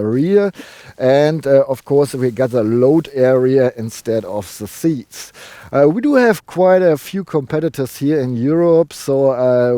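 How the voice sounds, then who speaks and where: A man's voice: a little high, dark tone, no echo, clean studio sound. A man with a strong German accent hosting a car review, talking in a chatty way with lots of fillers. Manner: chatty, many fillers, self-deprecating. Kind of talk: casual car review presentation. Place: outdoors near a river.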